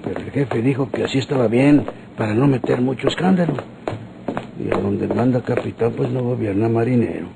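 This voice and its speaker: An actor playing a grumbling, ambitious Mexican police underling with a gravelly voice in a radio drama. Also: male voice, medium pitch, brisk, lightly acted. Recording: noisy old radio drama recording.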